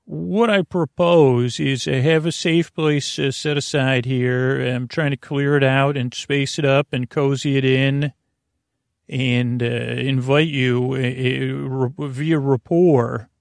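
A man with a creaky, lulling voice hosting a bedtime podcast in a meandering, halting way, often interrupting himself. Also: medium pitch, no echo, clean studio sound. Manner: soothing, lulling. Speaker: podcast host. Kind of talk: rambling bedtime sleep podcast monologue.

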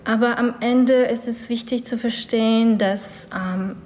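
A woman's voice: a little high, steady tone, a little slow, darkish tone, slight background noise. A woman from Ukraine, raised in Canada, speaking German as a second language, hesitant with many fillers. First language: not German. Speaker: yoga teacher, guest. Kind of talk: interview.

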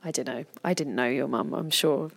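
A woman's voice: extremely low pitch, moderate pace, gravelly. An English woman in her thirties, talking candidly in a podcast conversation and swearing freely. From England.

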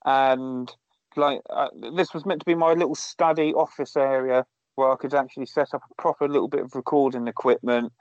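Male co-host, deadpan rambling asides, dull dry remote audio. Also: mid-range voice, brisk, no echo. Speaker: podcast co-host. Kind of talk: casual chat. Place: small garden shed.

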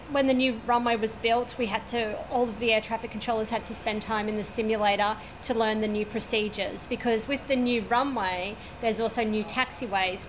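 A woman in her forties with an Australian accent, an air traffic controller giving detailed, matter-of-fact interview answers at a regional airport. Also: on the high side. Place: at a regional airport, overlooking the runway.

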